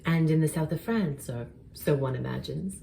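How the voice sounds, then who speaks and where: A woman playing a wry, low-voiced dinner guest. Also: moderate pace, warm tone. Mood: dry, arch. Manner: dry, arch. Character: wry, envious single woman, sharp-tongued dinner guest. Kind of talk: dramatized novel reading. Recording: distant mic with clear background noise.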